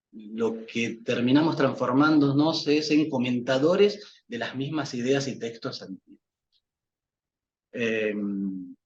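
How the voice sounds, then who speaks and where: A man whose first language is Portuguese, giving a reflective academic talk as a psychoanalyst guest, hesitant, with many fillers and restarts. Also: mid-range voice. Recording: dull lo-fi sound with faint noise.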